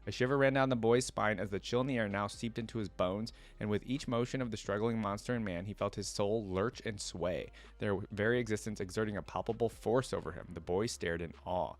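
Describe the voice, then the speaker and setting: Low-voiced man reading and reacting. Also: brisk, few pauses. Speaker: reaction video host. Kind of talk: reading a fantasy story aloud with casual reaction asides.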